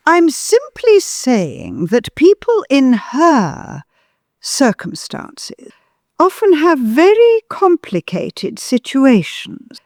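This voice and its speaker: A woman's voice: high voice, a little mellow, no room sound, clean studio sound, heavily acted. A woman playing a haughty, disapproving older mother-in-law in an audio drama, pompous and full of righteous insinuation.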